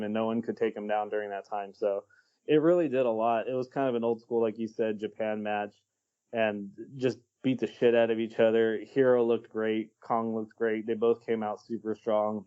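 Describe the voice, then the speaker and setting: A man's voice: fairly low pitch, normal speed, clear, resonant tone. Blunt, casual man on a muffled podcast. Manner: relaxed, blunt, swears casually. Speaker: podcast co-host. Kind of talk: casual podcast chat giving opinions.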